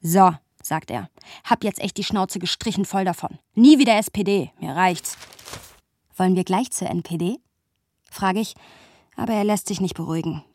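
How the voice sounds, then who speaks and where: An actress playing a witty, argumentative woman in a radio play, musing wryly and digressively in a slightly husky voice. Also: mid-range voice, moderate pace, a little mellow, no room sound, natural voice. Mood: argumentative, playful. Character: Sophia, witty, argumentative woman musing about her friend. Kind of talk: radio play narration and dialogue.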